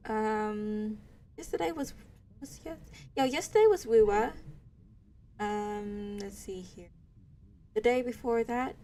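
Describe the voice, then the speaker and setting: A woman's voice: high-pitched, darkish tone, a little hiss or hum. Chatty American woman streaming a game. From United States. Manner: chatty, playful, talks to chat. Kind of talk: casual game commentary, reading story text aloud. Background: game music.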